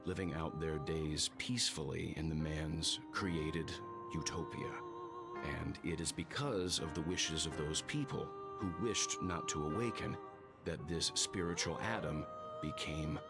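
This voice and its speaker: An actor voicing a grave, measured man in a game who tells a solemn story. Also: a bass voice, fairly even intonation. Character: solemn man telling a homeland parable. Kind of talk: game voice acting. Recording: game audio with music underneath.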